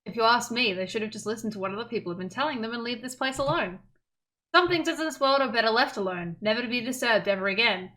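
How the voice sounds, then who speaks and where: A woman's voice: a little high, fast, pushed and bright. A woman streaming a game, reading a visual novel aloud and chatting casually between passages.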